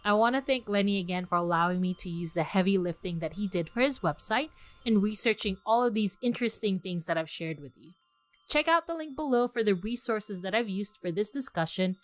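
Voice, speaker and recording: A woman hosting a podcast, reading scripted narration and storybook prose in an expressive, courteous way. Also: medium pitch, fairly quick. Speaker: podcast host and reader.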